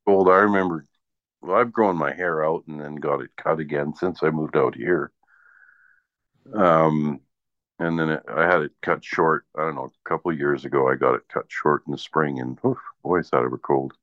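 A man's voice: rumbling low, normal speed. A man in his sixties chatting casually in a rambling, easygoing way. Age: 60s. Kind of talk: casual chat. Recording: dull lo-fi audio in echoey room.

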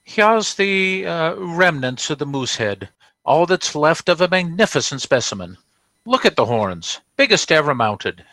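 Sharp, pompous trophy dealer. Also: male voice, a little high, big pitch swings, unhurried, dry recording, exaggerated voice. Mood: proudly defensive. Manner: pompous, florid, formal. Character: pompous, flustered male trophy dealer defending his goods. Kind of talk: 1940s radio comedy acting.

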